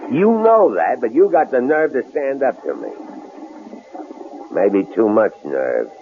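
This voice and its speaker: An actor playing a menacing, bullying killer who taunts in folksy, mocking commands, in a radio suspense drama. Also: male voice, medium pitch, sing-song, unhurried. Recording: muffled, noisy old radio recording.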